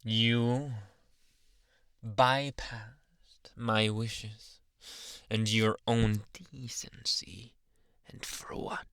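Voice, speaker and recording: A man playing a jealous, possessive, unhinged young anime boyfriend in an ASMR roleplay, slipping between low speech and breathy whispers, menacing yet coaxing, close to the mic. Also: little pitch movement, fairly bright tone, no echo, full character voice. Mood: controlling, contemptuous. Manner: menacing, teasing, drawn-out coaxing endearments. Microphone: close-up ASMR microphone, dry.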